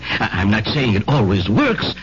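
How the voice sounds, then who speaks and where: A man playing a kindly, folksy family doctor in a 1970s radio drama, measured and reassuring. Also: on the low side, wildly swooping pitch, fairly bright tone. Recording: muffled old radio broadcast, faint hiss.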